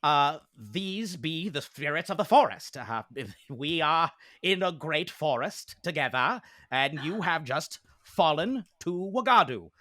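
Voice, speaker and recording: Man voicing a jovial forest being, archaic formal speech, bright, lively pitch. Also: a little slow, no room sound.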